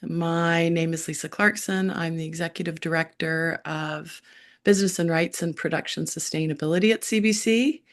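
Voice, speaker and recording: A middle-aged woman, hoarse, opens and runs an online session on a video call. Her voice sits a little low, and she keeps things brisk and upbeat, dropping in plenty of filler uhs. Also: fairly even intonation. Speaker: moderator. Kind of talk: online event moderation.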